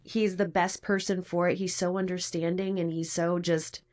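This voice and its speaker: A woman's voice: lowish voice, level tone, normal speed, darkish tone. A woman guest on a podcast, speaking in a brash and outspoken way with casual swearing. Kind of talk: casual interview chat.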